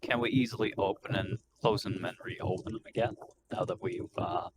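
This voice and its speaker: Low-voiced man over noticeable background noise. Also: normal speed. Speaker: player in a tabletop roleplaying game. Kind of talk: casual chat.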